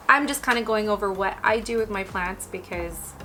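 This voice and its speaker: A woman hosts a houseplant care lesson, talking straight to her viewers in a chatty, unhurried way. Her voice is wearing out and is starting to go. She sits right in the middle of the usual female pitch range. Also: a little hiss or hum. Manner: chatty, relaxed, and explanatory, addressing viewers directly. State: losing her voice. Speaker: houseplant care channel host. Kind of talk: casual instructional talk.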